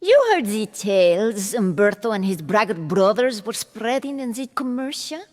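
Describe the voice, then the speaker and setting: A woman playing a proud, cold noblewoman duelist in an audio drama, speaking in a haughty, deliberate manner. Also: mid-range voice, lively intonation, lightly acted. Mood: proud, resolute. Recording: long hall reverb, music underneath.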